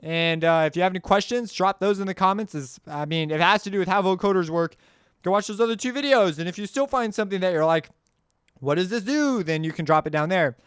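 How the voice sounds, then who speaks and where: A man hosting a casual music software tutorial, talking in an informal, rambling way and often correcting himself as he goes. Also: high-pitched, fast talker, clear, resonant tone, no echo, clean studio sound.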